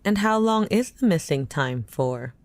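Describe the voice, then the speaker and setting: A woman's voice: on the low side, animated, unhurried, a little mellow, no room sound. An actress playing a wary, outspoken newcomer who questions her hosts in an audio drama. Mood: skeptical. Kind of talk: scripted audio drama acting.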